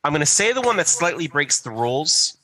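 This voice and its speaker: A man chatting casually as a podcast co-host, speaking in a halting, profane way. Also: on the high side, very animated, over a noisy crowd. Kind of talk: casual chat about movies.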